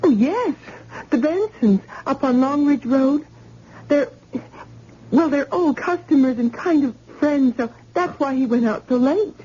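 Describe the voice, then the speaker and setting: A woman plays a scared young wife in a vintage radio play, high pleading voice, mellow tone, dull old recording. the sound is muffled with a faint hiss behind it. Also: lively intonation, measured pace. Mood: anxious. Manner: anxious, pleading, tearful. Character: frightened young wife of injured plumber. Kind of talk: old-time radio drama acting.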